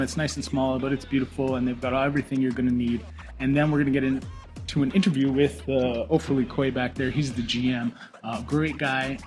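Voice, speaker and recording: A man hosts a casual restaurant review show from a small restaurant, upbeat and promotional. His voice sits in a middle pitch. Faint music plays behind him. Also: darkish tone. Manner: upbeat, promotional, repeats words for emphasis. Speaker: podcast host.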